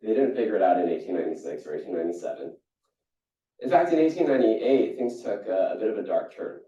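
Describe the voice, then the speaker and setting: A young man from Singapore giving a keynote talk, telling stories in a lively way with many restarts. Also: fast. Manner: storytelling, animated with jumps in pitch and frequent restarts. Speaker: keynote speaker. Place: conference hall. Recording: echoey hall, distant and dull.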